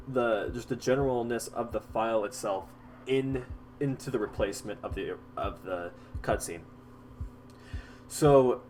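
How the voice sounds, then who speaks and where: A man hosting a casual software tutorial, explaining step by step in an informal, halting way. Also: medium pitch. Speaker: tutorial host.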